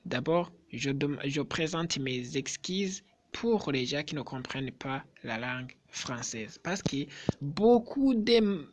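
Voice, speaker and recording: Male vlogger, non-native French speaker, slow and hesitant, repeats himself. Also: on the high side. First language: not French. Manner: slow, deliberate, repetitive, with hesitations. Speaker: YouTuber. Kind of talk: vlog address to subscribers.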